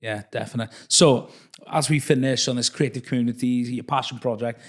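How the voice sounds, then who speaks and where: A man hosting a podcast in a casual, conversational tone. His voice sits at an ordinary mid pitch. He is friendly and a little hesitant, filling pauses with soft "uh"s as he gathers his thoughts. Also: steady tone. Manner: friendly, hesitant with frequent uh fillers. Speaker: podcast host. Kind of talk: casual interview chat.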